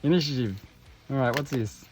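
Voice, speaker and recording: Guy doing a laidback unboxing chat, jokey asides, cuts himself off, medium pitch, faint music behind. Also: a little fast, darkish tone, husky. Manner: informal, jokey, self-interrupting asides. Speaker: host of tabletop game unboxing videos. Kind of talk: casual unboxing commentary.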